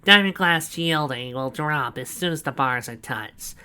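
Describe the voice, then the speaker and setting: A sneering, scheming villain, as a man puts him on for a fan-read story, his voice pushed high and tight with gloating. He stretches his words out slowly and savors every threat. The tone is bright and sharp. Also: clear, resonant tone.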